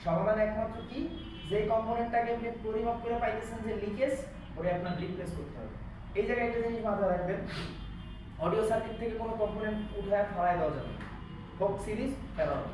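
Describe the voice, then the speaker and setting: A man's voice: high voice, fast. A man with a Bangladeshi accent teaching an interactive electronics repair class, explaining and often asking check questions. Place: classroom, indoors. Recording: echoey room, distant mic, background noise.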